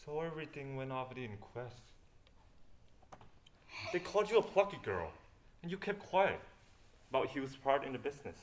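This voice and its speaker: Roguish adventurer, played by a young man: medium pitch, lively range, confrontational and world-weary, stage delivery. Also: moderate pace, long pauses, natural voice. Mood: accusing. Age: young adult. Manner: dramatic, confrontational. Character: roguish, cynical male adventurer, defensive and bitter. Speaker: student stage actor. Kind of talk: theatrical stage acting.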